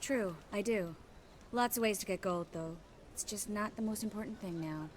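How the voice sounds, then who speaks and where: An actress voicing a resolute young-sounding sorceress in a video game, speaking in an earnest, assured manner. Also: female voice, mid-range voice, moderate pace, slight background noise, lightly acted. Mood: resolved, tender. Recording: music behind the voice.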